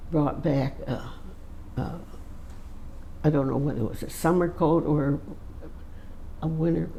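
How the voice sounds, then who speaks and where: A woman of about a hundred recalling old family stories in a slow, halting way, with many pauses and fillers. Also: low voice, vocal fry, dark tone, a little hiss or hum, rough recording. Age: 100s. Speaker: interviewee. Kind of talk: casual storytelling.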